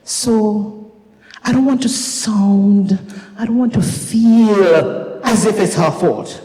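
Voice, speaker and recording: A woman with a Caribbean accent playing a weary, worried woman fretting over a child, in a slow, emphatic, lamenting dramatized reading. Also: mid-range voice, mellow, close to an everyday voice. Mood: weary, pleading. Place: university recital hall stage. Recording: echoey recital hall.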